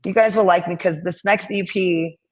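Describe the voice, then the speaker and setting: A young adult woman with a raspy voice, a musician guest talking earnestly and rambling in a casual interview over a laptop call. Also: on the low side, fairly even intonation, normal speed, darkish tone. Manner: earnest, rambling with many fillers. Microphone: laptop on a video call.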